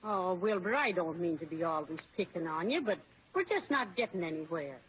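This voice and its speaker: An actress playing a bossy, well-meaning American housewife who nags insistently in an old-time radio comedy. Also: female voice, on the low side, lively intonation, brisk, lightly acted. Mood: softer, pleading. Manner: scolding, insistent. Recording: tinny, noisy old radio broadcast.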